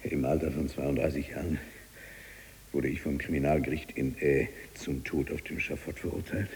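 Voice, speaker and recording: An actor playing an imprisoned freethinking writer, mocking, obsessive and eloquent, with a husky, gravelly voice, in a theatrical radio drama monologue. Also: male voice, a bass voice, steady tone, moderate pace, darkish tone, slight background noise. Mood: bitter, subdued. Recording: very reverberant hall-like space.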